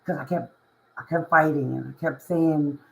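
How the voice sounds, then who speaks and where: A woman with a hoarse, raspy voice, talking to camera as a spiritual reader and life coach in a casual motivational monologue, emphatic and repetitive, often asking "you know what I mean". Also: low voice, fairly even intonation, moderate pace, low-quality recording.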